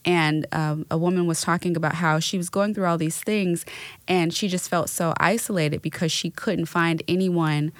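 Woman, slightly low voice, reflective podcast guest, dry close mic. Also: fairly even intonation, normal speed, dry recording. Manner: reflective, gives gentle advice with many fillers. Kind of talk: podcast conversation.

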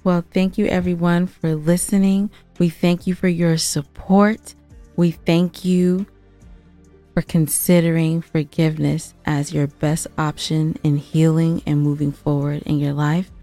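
A woman's voice: deep voice, level tone, a little slow, dark tone, faint music behind. A woman co-hosting a podcast, talking casually and earnestly to listeners with an emphatic, playful streak. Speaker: podcast co-host and producer.

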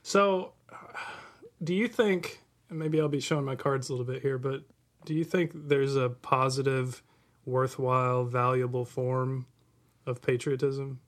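A man's voice: medium pitch, steady tone, measured pace. An American man co-hosting a podcast, talking informally and probing the discussion. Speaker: podcast co-host. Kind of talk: conversational discussion.

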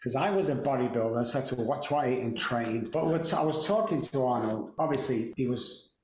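Man in his 60s, Mancunian accent, chatty and wry, roomy echo, mid-pitched voice. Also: a little fast, a little mellow, slight background noise. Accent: Mancunian English. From England. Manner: chatty, joking, self-deprecating. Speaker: podcast guest. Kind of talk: casual storytelling interview.

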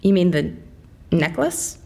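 Flustered, husky-voiced female agent. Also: on the low side, sing-song, takes it slowly, mellow, heavily acted. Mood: flustered, startled. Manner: hesitant, stammering. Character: flustered, unsure female agent questioning a prisoner. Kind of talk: audio drama acting.

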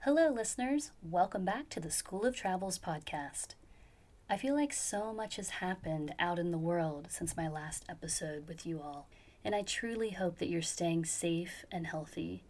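A woman's voice: fairly low pitch, moderate pace. Upbeat woman hosting a podcast. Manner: upbeat, enthusiastic questioning. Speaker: podcast host. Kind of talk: podcast interview. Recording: intro with soft guitar music.

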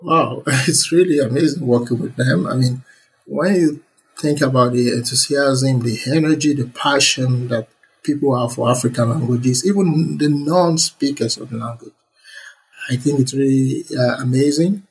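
A young man answers interview questions with earnest enthusiasm. His voice is very husky and breathy, and his pitch sits mid-range. He fills his answers with uh and um as he talks. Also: normal speed, low-quality recording. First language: Yoruba. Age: young adult. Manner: earnest and enthusiastic, many fillers. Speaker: interview guest, computer scientist. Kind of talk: relaxed conversational interview answers.

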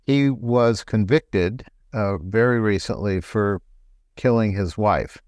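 A middle-aged man, a doctor co-hosting a health talk show, explaining things in a relaxed, conversational way. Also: fairly low pitch, slow, no room sound, studio quality. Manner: explanatory, relaxed, laughs lightly.

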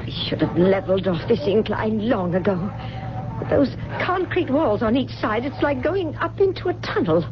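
A frail, tender middle-aged mother acted by a woman in a 1970s radio play. Her high voice swings expressively through wistful, halting, genteel phrases. The old broadcast recording is muffled. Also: animated, music in the background, lightly acted. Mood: tired, chatty. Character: frail, tender mother recovering from a bad back. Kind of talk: 1970s radio drama acting.

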